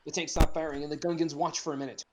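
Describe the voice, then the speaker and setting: Higher-voiced man narrating a script. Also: little pitch movement, background noise. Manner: halting, stumbles over the script. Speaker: narrator of a script table read. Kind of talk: reading stage directions, casual.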